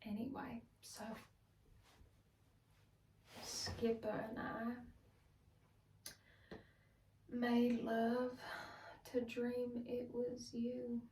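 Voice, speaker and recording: Woman acting out a bitter wounded wife, stage drama, slow halting delivery, heavy pauses, echoey room. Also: fairly high pitch, completely flat delivery, mellow, slight background noise. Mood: aching. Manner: halting, broken phrases with heavy pauses, at times whispered. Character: wounded, bitter Southern wife confessing to her husband. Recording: roomy echo, distant microphone.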